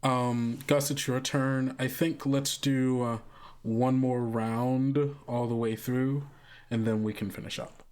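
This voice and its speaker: A man's voice: mid-range voice, level tone, slow pace, a little mellow, clean tone. A man leading scenes as a player on an actual play podcast, talking casually and improvising. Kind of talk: casual improvised tabletop roleplay.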